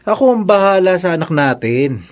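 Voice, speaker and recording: An actor playing a feckless, easygoing young husband in a Filipino radio drama, casual and breezy. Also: male voice, high voice, animated, moderate pace, highs cut off. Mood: breezy, agreeable.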